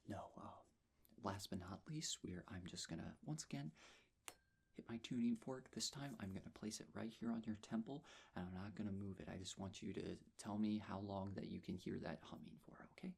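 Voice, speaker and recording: Man, low-pitched, husky and breathy, soft-spoken ASMR roleplay, unhurried, reassuring nurse manner. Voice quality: breathy, husky, often whispered. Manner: gentle, reassuring, unhurried personal attention. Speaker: ASMR performer playing a nurse.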